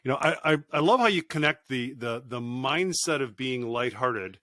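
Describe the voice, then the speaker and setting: A man's voice: medium pitch, lively intonation, normal speed, a bit bright, no echo, clean studio sound. A man acting as interviewer on a podcast who gives praise and stammers at the start of his sentences. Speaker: guest host, leadership coach.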